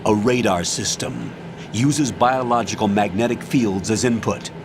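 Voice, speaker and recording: A man playing a commanding older army colonel in a video game, giving measured, authoritative mission briefings. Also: medium pitch, background noise, close to an everyday voice.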